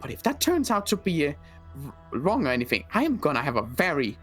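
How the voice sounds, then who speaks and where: Grudge-holding zombie-world hunter, male player, halting game roleplay delivery, animated intonation, faint music underneath. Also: on the high side. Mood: menacing. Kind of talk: improvised video game roleplay. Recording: background music.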